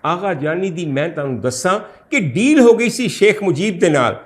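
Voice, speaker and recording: A man's voice: high voice, expressive, normal speed, voice pushed up. A man voicing an indignant, outspoken Punjabi woman who recounts her grievances with emphatic, lamenting exclamations in a storytelling talk. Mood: accusing, emphatic.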